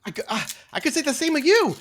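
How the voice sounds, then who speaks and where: A man's voice: very high-pitched, big pitch swings, quick pace, a bit bright, pitched above the performer's own voice, exaggerated voice. A man playing a cornered, defiant captive who stammers out challenging retorts in a tabletop game. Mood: indignant, nervous.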